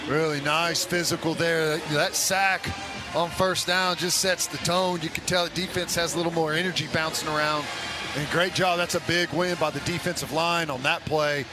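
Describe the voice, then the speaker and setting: A man's voice: on the high side, steady tone, normal speed, over music. A man giving conversational analysis of each play during a live football radio broadcast. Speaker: radio color commentator. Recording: noisy stadium broadcast.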